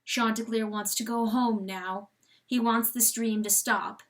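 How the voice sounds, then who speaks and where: A woman giving a dramatic, intense and deliberate storybook narration. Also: on the high side, fairly even intonation. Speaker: audiobook narrator.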